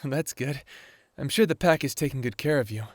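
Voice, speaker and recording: A man's voice: medium pitch, moderate pace, dry recording, clean studio sound, natural voice. A man acting a friendly, good-natured werewolf in an audio drama.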